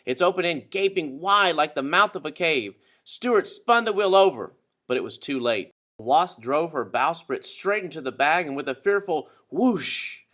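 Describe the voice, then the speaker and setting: Male children's story narrator, dramatic and animated, bright tone, slightly high register. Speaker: storybook reader.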